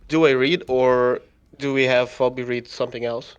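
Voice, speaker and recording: A man talking casually in a group voice chat, halting and repeating himself as he goes. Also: mid-range voice, steady tone, clear, resonant tone, dull audio. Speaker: fan fiction author and reader in a readalong.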